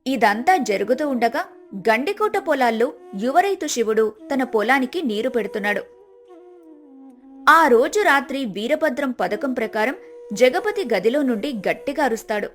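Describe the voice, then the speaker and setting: A woman's voice: high voice, expressive, normal speed, faint music behind. A woman narrator telling a scripted folk tale.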